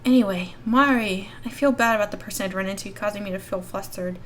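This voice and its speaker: A woman's voice: medium pitch, fast, a little hiss or hum. A woman reading a story aloud expressively as the host of a gaming video. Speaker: YouTube host reading a visual novel aloud. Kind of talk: expressive read-aloud narration.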